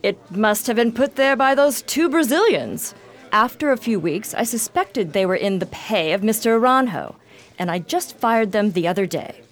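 A woman's voice: on the high side, animated, normal speed, natural voice. A woman playing a suave, unflappable villainess in a radio mystery, with a coolly taunting, insinuating manner. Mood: smooth denial. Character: suave, sly, unflappable villainess who denies murder. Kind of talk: radio mystery drama acting.

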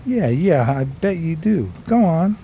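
A man's voice: on the high side, big pitch swings, measured pace, dark tone, slight background noise. A man playing a broke, eager 1920s New York street drifter in a radio play, talking in hesitant slang. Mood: friendly, eager. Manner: slangy, hesitant, colloquial storytelling. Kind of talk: radio play acting and first-person narration.

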